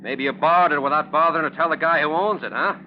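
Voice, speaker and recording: An actor playing a brusque, sardonic, suspicious police officer in a 1940s radio drama, talking in tough slang. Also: male voice, on the high side, fast, natural voice. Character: gruff, streetwise, suspicious male police officer. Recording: muffled, noisy old radio recording.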